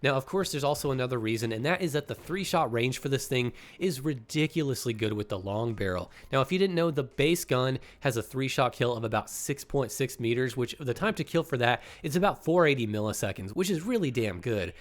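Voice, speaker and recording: A man's voice: medium pitch, animated, brisk, few pauses. Congested, slightly nasal male gaming YouTuber. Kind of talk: casual gaming commentary.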